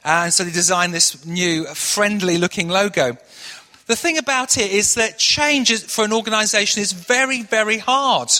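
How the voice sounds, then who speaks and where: A middle-aged man from Manchester preaching a sermon as pastor, telling stories earnestly and dropping into a hushed prayer. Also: high-pitched, normal speed. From Manchester, England. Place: church hall. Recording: long echoey hall reverb.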